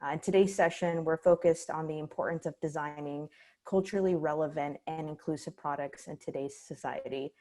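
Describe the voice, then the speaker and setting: A woman's voice: low-pitched, fairly even intonation, a little fast, mellow. A woman moderating a panel, introducing the speakers upbeat and friendly over a video call. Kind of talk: hosting a panel.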